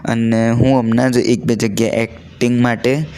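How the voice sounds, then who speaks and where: A man's voice: mid-range voice, flat delivery, moderate pace, dark tone, smooth. A young adult man chats casually and earnestly, telling stories in a conversational way and mixing in English words. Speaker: vlogger.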